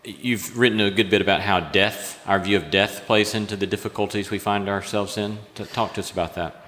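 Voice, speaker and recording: A man's voice: fairly low pitch, fairly even intonation, brisk, a bit bright. A man hosting a live on-stage interview and putting questions to his guest. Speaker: interview host. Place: large hall, live event. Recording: echoing hall with long reverb.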